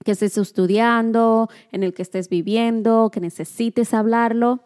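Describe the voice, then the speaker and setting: Woman co-host, thirties, encouraging, bright-ish higher voice, casual talk. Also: steady tone, unhurried. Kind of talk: casual conversation.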